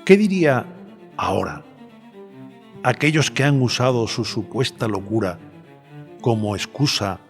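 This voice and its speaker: A man playing a polite, probing journalist, interviewing in a measured, hesitant way for an audio drama. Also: medium pitch, expressive. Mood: measured, respectful. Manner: measured, hesitant, deliberate phrasing. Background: cello and violin music.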